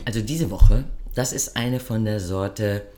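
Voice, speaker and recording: A woman chatting casually as a podcast co-host, telling a story in a lively, self-mocking way, her nose stuffed up from a cold. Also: a bass voice, measured pace, faint music behind. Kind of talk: casual chat.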